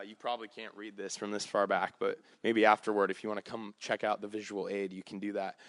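A man preaching a conversational sermon, his voice slightly raspy, with frequent fillers and restarts. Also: lowish voice, a bit bright, no room sound. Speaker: pastor.

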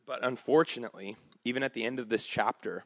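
A man preaching a conversational sermon, his voice slightly raspy, with frequent fillers and restarts. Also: medium pitch, fast talker, fairly bright tone, dry recording, low-quality recording. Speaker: pastor.

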